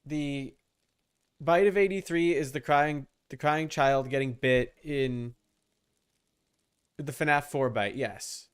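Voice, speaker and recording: A young adult man chatting casually as he streams a game, rambling and halting with repeated words. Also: medium pitch, smooth. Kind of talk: casual livestream chat while gaming.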